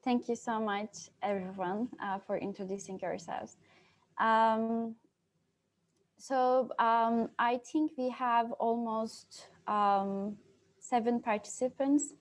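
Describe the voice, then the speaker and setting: A woman's voice: a little high, fairly even intonation, slow. A young woman from Turkey moderating an online breakout session, hesitant, with many fillers and restarts. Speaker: youth moderator. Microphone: video call.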